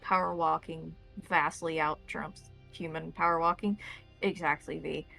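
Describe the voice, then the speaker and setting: A woman's voice: low voice, flat delivery, a little slow, gravelly, bright, forceful tone, soft background music. A woman narrating a tabletop roleplaying game as the game master, describing scenes to players.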